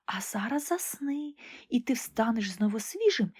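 A woman voicing a small, kind male nightingale in a storybook reading, speaking softly and airily. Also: big pitch swings, a little slow, breathy edge, dry recording, clean studio sound, full character voice. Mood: tender. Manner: soft, high and airy. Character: tiny gentle male nightingale, kind and wise.

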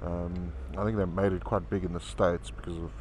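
Low-voiced man vlogging from his motorbike, chatty and profane, with loud road and wind noise. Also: little pitch movement, normal speed. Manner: chatty, profane, self-mocking. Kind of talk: casual rambling travel vlog. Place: on a moving motorcycle outdoors. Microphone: helmet-mounted camera.

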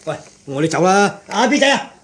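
A flustered coward, noisy recording. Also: male voice, sits high, big pitch swings, brisk. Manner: hasty, repetitive, placating. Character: flustered, cowardly man hurrying to back down. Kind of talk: Cantonese radio drama acting. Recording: noisy, clicky radio recording.

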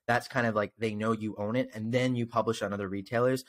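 Young man hosting, slightly low voice. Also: fairly even intonation, quick pace. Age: young adult. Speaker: podcast host, interviewer. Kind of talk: casual interview chat. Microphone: desk microphone close up, video call.

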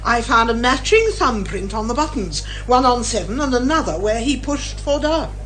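Casting: headstrong older British woman sleuth, high-pitched and emphatic, for radio comedy. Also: animated, moderate pace, a bit bright, faint music behind, rough recording. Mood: explaining keenly. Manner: insistent, emphatic, articulate. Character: headstrong older female mystery writer turned amateur sleuth. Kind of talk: radio detective comedy acting.

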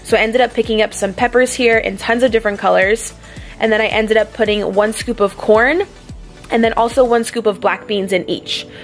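A young woman vlogger talking her viewers through a meal prep in a relaxed, chatty voice-over with easy fillers. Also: a little high, moderate pace. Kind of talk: casual cooking voice-over. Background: faint background music.

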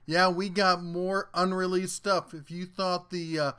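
A man's voice: high voice, flat delivery, a little slow, pushed and bright, smooth. A man hosting a tool review channel, giving a casual, folksy and jokey rundown of a new product as a voice-over.

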